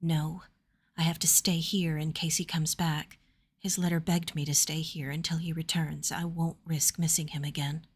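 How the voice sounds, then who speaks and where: A woman narrator voicing a gentle, anxious young noblewoman in a fantasy story, speaking softly and earnestly. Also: sits low, flat delivery, moderate pace, breathy edge. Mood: worried, guilty.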